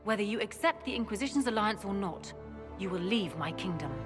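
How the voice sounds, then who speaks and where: A woman's voice: mid-range voice, lively intonation, fairly quick, loud music behind the voice, lightly acted. An actress playing a stern, commanding queen in a video game cutscene, regal, firm and imperious. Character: stern, commanding queen defending her realm.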